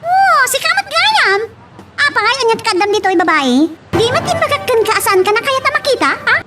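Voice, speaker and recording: A woman's voice: extremely high pitch, very animated, fairly quick. A woman playing the boss's spoiled, snobbish young daughter in an Ilocano audio drama, pouty and exclaiming. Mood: pouty, suspicious. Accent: Ilocano Filipino. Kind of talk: amateur audio drama dialogue. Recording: slightly distant, faint background noise.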